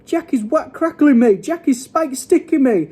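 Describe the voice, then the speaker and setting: A man voicing a huge man-eating giant from a storybook, loud and shrieking, wailing pleas in broken grammar. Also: extremely high pitch, animated, normal speed, voice pushed up. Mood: panicked bellowing. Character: huge man-eating male giant, panicking, screaming and begging.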